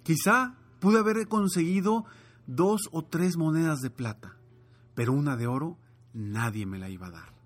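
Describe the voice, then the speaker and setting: Man as an earnest young apprentice, husky. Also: a little high, normal speed, faint music behind, lightly acted. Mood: apologetic. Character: earnest young male apprentice, respectful and eager.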